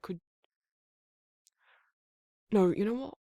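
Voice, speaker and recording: A man playing a protective, tender werewolf lover in intimate ASMR roleplay, murmuring softly and informally with a husky, breathy voice. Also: high-pitched, takes it slowly, no echo, studio quality, heavily acted. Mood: fussing, flustered.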